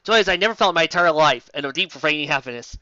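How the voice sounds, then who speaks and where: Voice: medium pitch, lively intonation, very fast, pushed and bright, dry recording. A reader playing a melodramatic, self-important young heroine narrating a story with solemn, overwrought delivery, slightly lo-fi. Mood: overjoyed.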